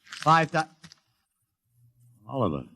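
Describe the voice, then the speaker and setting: A man's voice: mid-range voice, sing-song, takes it slowly, sharp tone, a little hiss or hum, heavily acted. An actor playing a brash, money-minded publicity man in a 1950s radio drama, with slangy, glib salesman patter. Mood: eager, glib.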